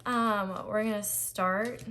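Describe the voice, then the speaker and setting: A woman with a slightly raspy voice doing a casual, reassuring tarot reading to camera, with frequent ums and restarts. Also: medium pitch, steady tone, takes it slowly, background noise. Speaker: tarot reader.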